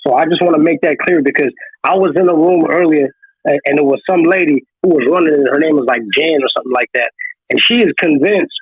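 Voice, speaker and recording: A man's voice: fairly high pitch, fast talker, slightly rough, dry recording. A man from South Africa speaking up in an online audio room, earnest and reassuring, often repeating himself. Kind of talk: audio chat room discussion. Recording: tinny phone-like audio.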